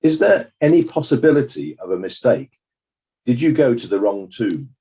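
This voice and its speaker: Man acting husky, formal courtroom examiner. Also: medium pitch, very animated, dark tone. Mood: probing. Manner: formal, brisk, authoritative. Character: formal probing inquest examiner questioning witnesses. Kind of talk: dramatized courtroom questioning.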